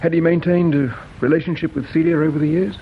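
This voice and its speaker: An actor with an English accent playing a polite, patient, shrewd police inspector in a British radio drama, measured and courteous. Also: male voice, a little high, steady tone, natural voice. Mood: grave, insistent. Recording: dull radio drama recording with hiss.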